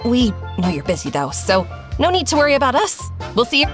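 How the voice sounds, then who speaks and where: Polite, higher-voiced young surfer. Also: female voice, very animated, a little fast, music in the background. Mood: grateful. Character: grateful, polite young woman, a supply-carrying surfer. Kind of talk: animated fantasy game acting.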